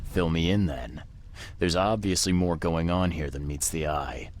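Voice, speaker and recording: Noir game private eye: extremely deep, laconic, dry, world-weary. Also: male voice, unhurried, slight background noise. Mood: probing. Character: world-weary, wry male private detective. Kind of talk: noir-like video game voice acting.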